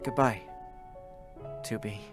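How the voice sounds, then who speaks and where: A man playing a gentle, wistful young male combat android in a dramatic reading of a game story, speaking in an earnest, tender, reflective way. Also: fairly low pitch, slow, over music. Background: musical accompaniment.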